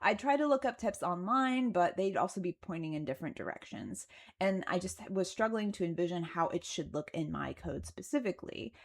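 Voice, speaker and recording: A woman's voice: fairly low pitch, lively intonation, fairly quick, gravelly. A woman narrating a casual explanatory video as its creator, chatty and conversational, with a wry, self-deprecating way of talking. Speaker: video creator narrating her game development progress.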